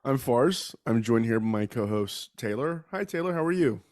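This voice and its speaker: A man's voice: medium pitch, animated, moderate pace, a little mellow, dry recording, studio quality. A man hosting a casual true-crime podcast while sick with a respiratory bug. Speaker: podcast host.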